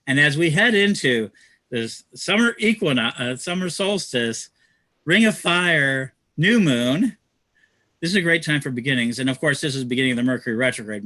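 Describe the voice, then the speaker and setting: A man's voice: medium pitch, bright, forceful tone, no room sound. A man hosting a livestream, who talks about community projects in a rambling, enthusiastic way. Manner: rambling, enthusiastic, many restarts. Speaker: host. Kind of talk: promotional livestream talk.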